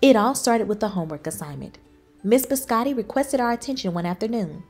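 A woman reading a children's book aloud in expressive storybook narration. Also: on the high side, fairly quick, faint music behind. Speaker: children's book reader, channel host.

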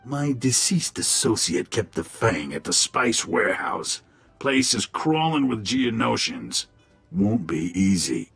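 An actor playing a shady alien gangster lieutenant in a video game, with a husky, gravelly voice. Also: male voice, fairly low pitch, big pitch swings, slow, a bit bright, dry recording, faint music behind, exaggerated voice. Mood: businesslike, grim. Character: shady alien male gangster lieutenant running a desert club. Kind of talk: video game dialogue acting.

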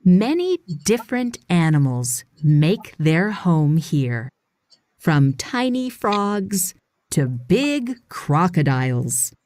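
A woman narrating a scripted children's read-aloud, with deliberate, expressive phrasing for young readers. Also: on the low side. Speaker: audiobook narrator.

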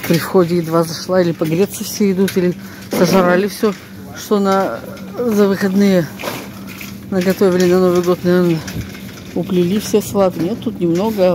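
An older woman chatting casually as a vlogger, rambling to herself in a folksy, exclaiming way with playful diminutives. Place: shop, bus, snowy street, flat. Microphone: handheld phone. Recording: noisy handheld recording with vehicle rumble.

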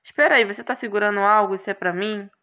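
A woman's voice: medium pitch, quick pace, bright, forceful tone, lightly acted. A woman voicing a brash, irritable young male hero in a game, exclamatory and sarcastic. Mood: irritated.

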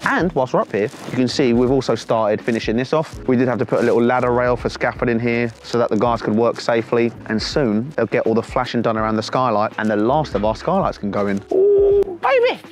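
A man in his thirties talks through his building project in an easy, chatty way. He sounds excited and jokey at a middling pitch. He is recorded on a camera mic in a bare warehouse. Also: a little fast, hardly any pauses. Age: 30s. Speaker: vlog host. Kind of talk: casual walkthrough vlog. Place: warehouse under construction, indoors and on roof.

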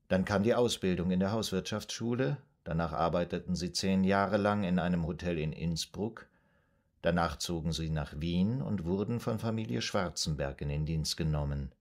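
A deep, measured psychoanalyst. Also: male voice, a little mellow. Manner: measured, formal, probing. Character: calm, methodical, patient male psychoanalyst in 1920s Vienna. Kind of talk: German radio drama acting.

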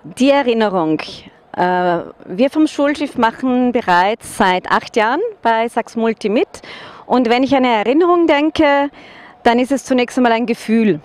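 Middle-aged Austrian woman teacher, higher voice, measured and earnest, handheld mic outdoors. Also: lively intonation, darkish tone. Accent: Austrian German. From Vorarlberg, Austria. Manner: earnest, measured, with occasional hesitations. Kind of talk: personal testimonial to camera. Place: outdoors in front of a school building. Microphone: handheld microphone.

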